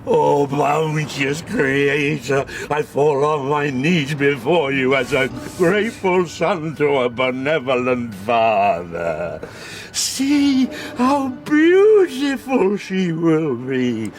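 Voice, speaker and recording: An actor playing an anguished, vengeful created monster in a dramatised audiobook, with theatrical delivery. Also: male voice, fairly high pitch, big pitch swings, heavily acted. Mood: worshipful, hopeful. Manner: slow, theatrical delivery, swinging from pleading cries to menacing whispers.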